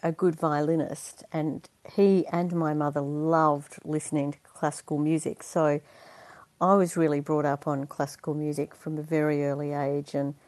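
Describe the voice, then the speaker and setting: Low, mellow-voiced woman. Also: flat delivery, moderate pace, no room sound. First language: Dutch. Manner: chatty and earnest, laughs easily, many fillers. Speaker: interview guest, performer. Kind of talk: conversational interview.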